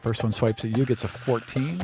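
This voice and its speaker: A man running a tabletop game as dungeon master, narrating scenes in a relaxed, wry way. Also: medium pitch, brisk. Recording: music bed under the voice.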